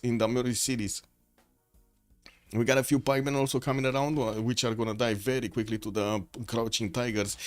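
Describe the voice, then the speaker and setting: Man casting a strategy game live. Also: mid-range voice. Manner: rambling, greets chat viewers as sir. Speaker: stream host and caster.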